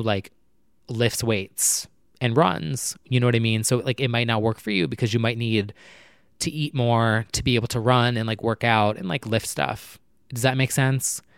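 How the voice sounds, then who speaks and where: A young man chatting casually at night, rambling with lots of fillers and talking low so his neighbors won't hear. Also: normal speed, gravelly, clean tone, no echo, studio quality. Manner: talking low and quietly to keep neighbors from hearing. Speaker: vlogger. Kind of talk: casual personal chat to camera. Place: apartment at night.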